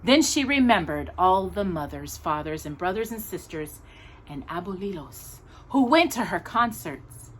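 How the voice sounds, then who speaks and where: A woman with a slightly husky voice reads a children's picture book aloud as a storytime host, stressing key words expressively. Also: on the low side, poor audio. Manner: animated, emphatic storytelling with pauses on key words.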